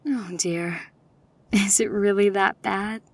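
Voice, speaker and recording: Woman voicing elegant female unicorn singer, mid-range voice, smooth, polite, refined, compassionate, audio drama. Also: medium pitch, steady tone, slow pace. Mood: concerned, kind. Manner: gentle, polite, genteel.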